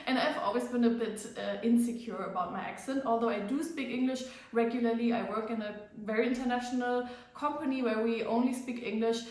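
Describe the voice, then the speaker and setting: A woman with a noticeable German accent talks casually to her viewers, her voice sitting a little high. She chats along with plenty of fillers and a slightly self-conscious manner. The room around her rings with heavy echo. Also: steady tone, fairly quick, a little mellow. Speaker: fitness vlogger. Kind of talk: casual vlog to camera. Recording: very echoey room.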